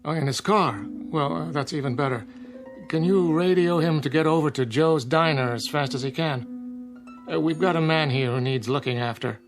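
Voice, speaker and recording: An actor playing a firm, rational small-town doctor in a radio drama, measured and authoritative as he explains. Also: fairly high pitch, lightly acted. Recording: music under the voice.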